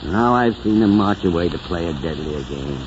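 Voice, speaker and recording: An actor playing an elderly, sentimental small-town mayor with a solemn, oratorical manner, in 1940s radio drama style. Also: male voice, deep voice, animated. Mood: solemn, stirring. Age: older. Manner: oratorical, solemn, drawn-out phrasing. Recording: muffled, hissy 1940s radio recording.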